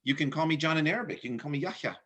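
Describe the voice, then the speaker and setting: A man's voice: on the high side, racing, pushed and bright, slightly rough. A man hosting a political discussion over a video call, talking casually and often repeating words as he thinks. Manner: conversational, repeats words, laughs easily. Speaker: host.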